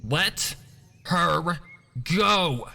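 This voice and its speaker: A man voicing a bold, protective young female pony in a story reading, speaking forcefully and demandingly. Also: a little high, lively intonation, drawn-out words, gravelly, big-room sound, voice pushed up, full character voice. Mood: fierce demand. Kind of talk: dramatic fan fiction reading.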